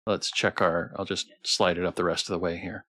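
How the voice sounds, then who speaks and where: A man hosting a livestream chat, speaking in an enthusiastic, halting way with repeated restarts. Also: low-pitched, little pitch movement, sharp tone. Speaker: livestream host interviewing a game developer.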